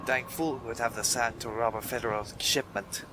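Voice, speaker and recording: A man playing an excitable, countrified Old West working fellow in a comic dub. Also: mid-range voice, moderate pace, background noise, close to an everyday voice. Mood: uneasy.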